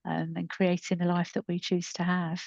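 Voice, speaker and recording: A woman's voice: fairly low pitch, monotone, normal speed, gravelly, darkish tone, no echo, muffled recording. A middle-aged woman co-hosting a podcast in casual, unscripted chat, explaining things conversationally. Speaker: podcast co-host and storytelling creator.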